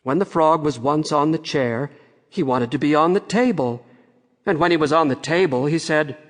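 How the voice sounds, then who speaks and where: A man narrating a fairy-tale audiobook in a measured storytelling way, with long reverb on the recording. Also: fairly high pitch.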